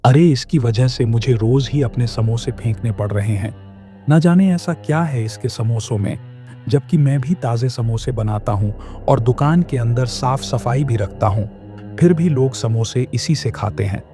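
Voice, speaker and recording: Brief: male actor as greedy cartoon shop boss, grumbling and scheming, mellow dark tone, faint music behind. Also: mid-range voice, expressive, moderate pace, natural voice. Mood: grumbling, resentful. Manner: bossy, grumbling. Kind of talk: children's cartoon dialogue.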